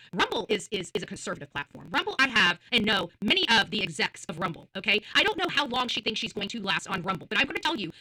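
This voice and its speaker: A woman's voice: mid-range voice, sharp tone, rough recording. A woman livestream host ranting to her viewers in a combative, blunt, profane and emphatic way, repeating phrases for force.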